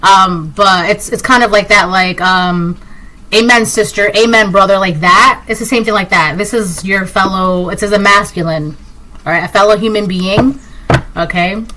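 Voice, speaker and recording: A woman's voice: medium pitch, normal speed, bright, forceful tone, low-quality recording. A Spanish-speaking woman from Puerto Rico giving a casual tarot reading, rambling with lots of fillers and restarts. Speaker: tarot reader. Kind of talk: casual intuitive reading to camera.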